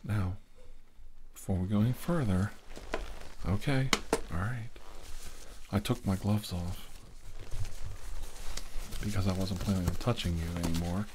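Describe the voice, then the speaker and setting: A man playing a probing, insistent doctor in an ASMR exam roleplay, soft-spoken and deliberate as he gives instructions. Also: low voice, fairly even intonation. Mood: quietly insistent. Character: probing, insistent male doctor examining a nervous patient. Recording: clear background hiss and noise.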